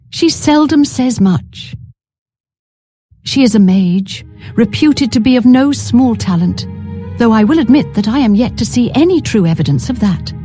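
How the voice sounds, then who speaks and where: Actress as female tavern keeper, lively swooping intonation, mellow tone, chatty, knowing, folksy, fantasy game, soft music behind. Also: sits high. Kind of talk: scripted fantasy video game dialogue.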